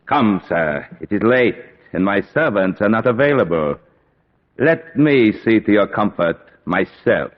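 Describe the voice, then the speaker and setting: Ancient vampire aristocrat, very slow delivery, low voice, formal, menacing courtesy, vintage radio drama, dull recording. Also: male voice, lively intonation. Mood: courtly. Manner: formal, grandiose, deliberate. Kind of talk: old-time radio drama acting.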